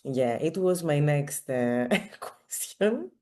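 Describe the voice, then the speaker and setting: Female interview host, lower pitch, markedly slow pace, casual and halting phrasing. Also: expressive, a little mellow.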